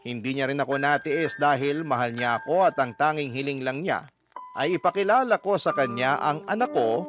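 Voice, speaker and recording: A man's voice: medium pitch, moderate pace, fairly bright tone. A man reading a listener's letter aloud in first person, as radio drama narration. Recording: music bed under the voice.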